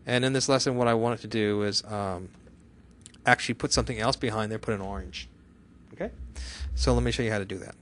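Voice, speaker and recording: A man giving a live software tutorial to a class, chatty, often asking questions and answering them himself. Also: fairly low pitch, a little fast, pauses often, slight background noise. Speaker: software instructor.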